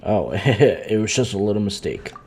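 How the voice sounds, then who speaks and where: A man live streaming a video game, reading lines aloud and commenting casually in a halting way. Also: lowish voice, big pitch swings, crowd noise. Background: faint game music.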